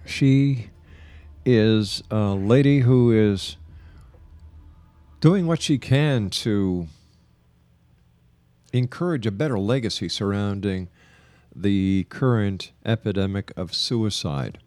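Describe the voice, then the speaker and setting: Male radio host, lower-pitched, dry close sound, measured and emphatic interview delivery. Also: mellow, dry recording. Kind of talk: radio interview with broadcast announcements.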